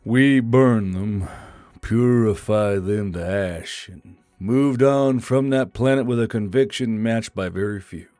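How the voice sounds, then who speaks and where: Man acting a slow, weary veteran drill sergeant. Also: lowish voice, warm tone, no echo, soft background music. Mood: weary, grim. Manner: grave, deliberate speechmaking. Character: weary, solemn veteran male drill sergeant recounting an alien war. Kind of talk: dramatic audiobook character reading.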